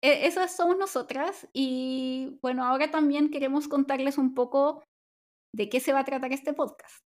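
High-voiced Chilean woman chatting. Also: fairly even intonation, moderate pace, a little mellow. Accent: Chilean Spanish. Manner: chatty, upbeat, frequent restarts and fillers. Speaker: podcast co-host. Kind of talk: casual chat.

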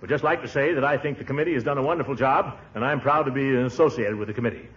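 An actor playing a pompous, easily flattered middle-aged man, blustering and hesitant in old-time radio comedy style. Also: medium pitch, rapid-fire, lightly acted. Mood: self-important. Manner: blustering, self-important, hesitant. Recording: muffled, noisy vintage radio recording.

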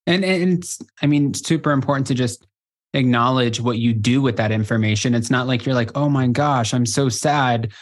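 Man, podcast host, enthusiastic, complimentary, mid pitch. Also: moderate pace. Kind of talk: casual interview chat.

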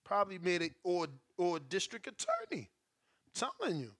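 A man with a high, bright, sharp-edged voice talks boxing in a loose, slangy show chat. He argues with animation. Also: huge pitch swings, moderate pace. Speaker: podcast co-host.

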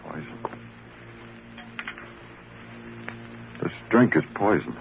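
Casting: hard-boiled radio detective, deep voice, dry and clipped delivery, muffled vintage sound. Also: male voice, big pitch swings, fairly quick, creaky, slight background noise, full character voice. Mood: urgent, grim. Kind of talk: 1950s radio crime drama acting and narration. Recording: dull vintage radio recording.

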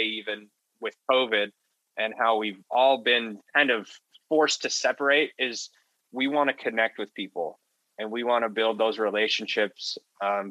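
A man's voice: on the low side, sharp tone, no room sound, rough recording. A man co-hosting a podcast, talking in an earnest, informal, conversational way. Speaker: podcast co-host. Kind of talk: casual conversational podcast wrap-up.

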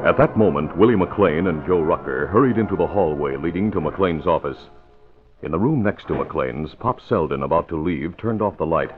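A man's voice: low-pitched, lively intonation, moderate pace. A man narrating a 1940s radio crime serial in a formal, dramatic storytelling delivery. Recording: muffled old radio recording. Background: dramatic music.

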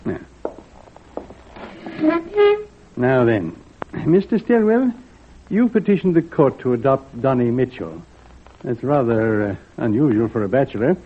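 An actor playing a kindly, avuncular judge in an old-time radio drama, genial and deliberate, with a husky voice. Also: male voice, medium pitch, lively intonation. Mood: genial, formal. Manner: genial, measured, hemming. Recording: tinny, muffled vintage radio recording.

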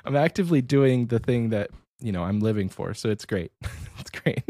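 A man's voice: medium pitch, expressive, moderate pace, dark tone, no echo, studio quality. A man with a slight cold and a nasal voice, rambling easily as a podcast co-host. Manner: rambling, easygoing. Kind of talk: casual chat.

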